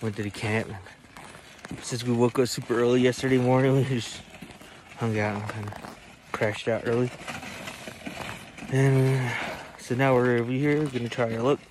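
A man's voice: mid-range voice, moderate pace, long pauses. A man casually narrating an ice fishing vlog outdoors, filmed on a phone held at arm's length. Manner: laid-back, chatty, excited when fish bite. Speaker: outdoor vlogger. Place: outdoors on a frozen lake, windy. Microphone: handheld phone camera at arm's length. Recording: loud wind and outdoor noise.

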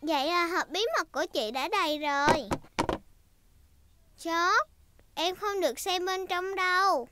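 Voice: extremely high pitch, a little slow, pauses often, background noise. A performer voicing a cheerful, bossy little girl piglet, a big sister, in a children's cartoon dub. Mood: bossy, secretive.

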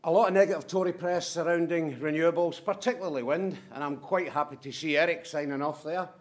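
Brisk man giving a talk. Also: on the high side, steady tone. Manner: brisk, punchy short statements with asides. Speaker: conference speaker from a manufacturing firm. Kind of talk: conference presentation.

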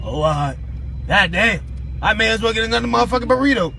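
Guy acting as loud cussing drive-thru customer, high creaky voice, faint noise, phone in a car. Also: lively intonation, fast talker, exaggerated voice. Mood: brash. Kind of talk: improvised prank ordering. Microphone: handheld phone.

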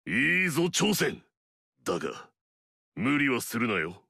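A man's voice: mid-range voice, big pitch swings, a little slow, creaky, bright, forceful tone, slightly rough, no echo, studio quality, exaggerated voice. An actor playing an arrogant, fierce male warlord in a video game, swaggering and barking battle cries.

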